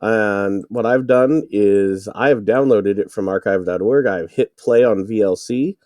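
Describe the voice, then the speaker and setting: A man's voice: fairly low pitch, measured pace, a little mellow, dry recording, clean studio sound. A man co-hosting a podcast, explaining things informally in casual chat. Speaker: podcast co-host.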